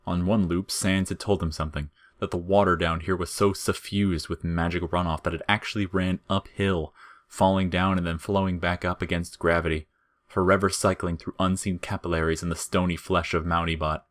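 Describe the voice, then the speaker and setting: A man with a low voice reads a scripted story as its narrator. The delivery is that of audio fiction storytelling. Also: little pitch movement, brisk.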